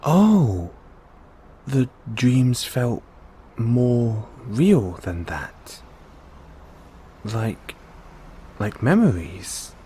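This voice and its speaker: A man playing a gentle, caring android owner in an intimate one-sided audio roleplay, coaxing and inquisitive, with long pauses. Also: mid-range voice, sing-song, a little hiss or hum. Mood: curious.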